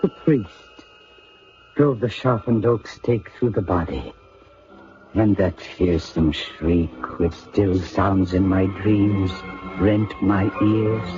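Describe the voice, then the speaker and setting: An actor playing a haunted man who narrates his past in a radio drama, measured and solemn. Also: deep voice. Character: haunted father looking back, somber narrator. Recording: muffled old radio recording with music.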